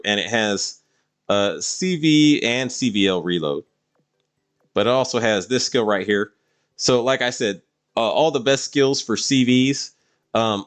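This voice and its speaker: A man's voice: lowish voice, fairly bright tone. A man doing casual live-stream game commentary, explaining things to viewers in a rambling way.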